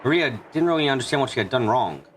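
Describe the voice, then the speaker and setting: Low-voiced man narrating a visual novel. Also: expressive, fast, background noise.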